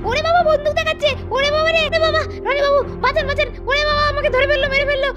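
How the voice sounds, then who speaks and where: A woman voicing a melodramatic, cheeky young village woman in a doll cartoon, with a high-pitched voice. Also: level tone, racing, dull audio. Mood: mock panic. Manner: melodramatic wailing and pleading. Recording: music behind the voice.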